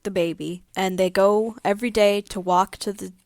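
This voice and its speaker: Woman podcast co-host, middle pitch, blunt and informal, recorded really dry. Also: steady tone, normal speed, darkish tone, no echo. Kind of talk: casual chat.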